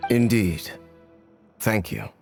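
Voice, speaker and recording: A helpful young male character in a video game. His delivery is calm, polite and understated. Music is clearly audible behind him. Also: lowish voice, slow.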